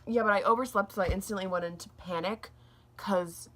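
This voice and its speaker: A young woman reviewing a book in a casual, rambling vlog, her throat a little scratchy and gravelly, with lots of fillers and restarts. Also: medium pitch, steady tone, a little fast. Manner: rambling, says like a lot, restarts often.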